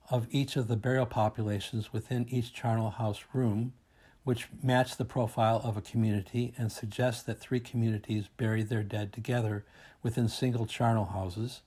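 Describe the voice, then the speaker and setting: A man reading a scripted academic presentation aloud in a formal, measured and deliberate manner. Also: mid-range voice, mellow, slightly rough. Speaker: academic researcher presenting a paper.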